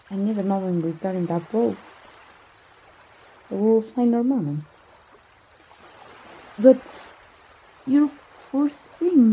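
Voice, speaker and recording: A woman acting as a tender young princess in a romantic ASMR roleplay. Her voice is very breathy and soft, with a dark, mellow tone. She speaks slowly and haltingly, full of awe and love. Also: fairly high pitch, full character voice, rough recording. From Mexico. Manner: halting, emotive, with gasps and sighs. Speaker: voice actor.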